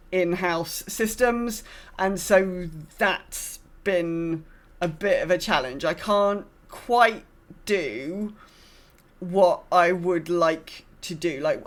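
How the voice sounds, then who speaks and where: A woman co-hosting a gaming podcast in casual chat, thinking aloud in a hesitant, hedging way. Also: on the low side. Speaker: podcast co-host.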